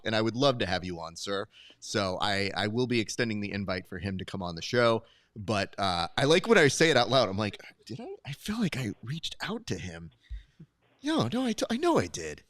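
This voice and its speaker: A man's voice: lowish voice, sing-song, normal speed, fairly bright tone, no echo. A man hosting a casual post-show chat, joking, teasing and rambling as he runs the segment. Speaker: show host.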